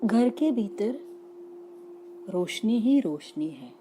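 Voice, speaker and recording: Very slow, dark-voiced female meditation guide. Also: mid-range voice, very animated, slight background noise. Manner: soothing, unhurried instruction in short phrases with long pauses. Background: background music.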